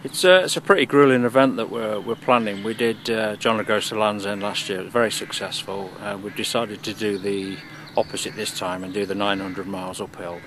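A man's voice: fairly low pitch, steady tone, a little fast, few pauses, fairly bright tone. A man in his forties, a serviceman and charity cyclist, talking hesitantly in an outdoor interview at an airbase. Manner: hesitant, fillers and restarts. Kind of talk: on-camera interview. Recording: outdoor wind and traffic noise.

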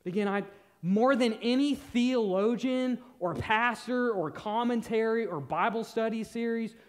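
A young man preaching a sermon on a church stage, earnest, shifting between loud emphasis and soft, near-whispered moments. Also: very high voice, measured pace. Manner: paces the stage gesturing with both hands. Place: church stage in a large hall.

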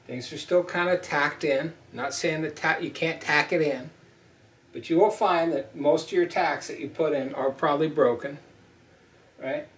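A man's voice: fairly high pitch, moderate pace, fairly bright tone, recorded from a distance. A middle-aged man teaching ship fitting at a whiteboard, blunt and emphatic, repeating points as he talks through the steps. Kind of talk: whiteboard welding lesson. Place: small indoor room at a whiteboard. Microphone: camera mic from a few feet away. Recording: roomy echo, voice off-mic.

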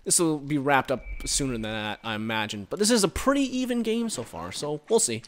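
A man giving casual, rambling commentary while playing a game, recorded on a headset and reacting loudly to the action. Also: mid-range voice, very animated, brisk, a little hiss or hum. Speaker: gaming commentator.

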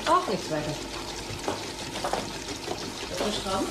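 A woman's voice: mid-range voice, very animated, very slow. An actress playing a sardonic, impatient female lab co-worker in a radio drama. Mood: wry. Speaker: laboratory co-worker character. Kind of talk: German radio drama acting. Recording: echoey noisy room.

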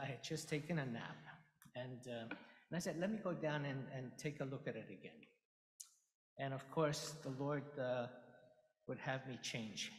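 A man in his sixties, a Spanish native speaker from Ecuador with a Spanish accent, preaching an earnest, halting sermon at a podium microphone in a church sanctuary. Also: on the high side. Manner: earnest, halting with many fillers. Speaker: lay preacher. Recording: echoey hall with long reverb.

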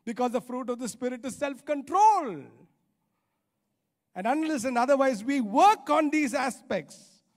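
A man's voice: high voice, a little mellow. A middle-aged man with an Indian accent preaching a sermon emphatically, repeating phrases for stress. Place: large church auditorium stage. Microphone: handheld microphone. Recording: reverberant hall sound.

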